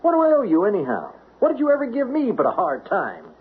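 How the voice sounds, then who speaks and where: An actor playing a stubborn, indignant mail carrier, argumentative and protesting, in an old-time radio drama. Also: male voice, high voice, wildly swooping pitch, quick pace. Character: gruffly indignant male mail carrier, stubborn rule-keeper. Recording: tinny, hissy old radio recording.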